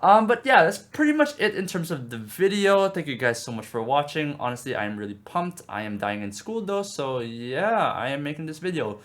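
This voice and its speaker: A man's voice: on the high side, very animated, moderate pace, hardly any pauses, a bit bright. A young man casually talking through his game progress in a rambling, upbeat way, with many fillers and restarts. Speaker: gaming video creator. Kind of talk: casual game commentary vlog.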